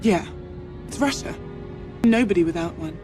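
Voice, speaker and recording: A woman's voice: on the low side, moderate pace, over music, close to an everyday voice. Woman acting as breezy, flirtatious socialite spy. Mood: insistent. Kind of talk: naturalistic cinematic video game acting.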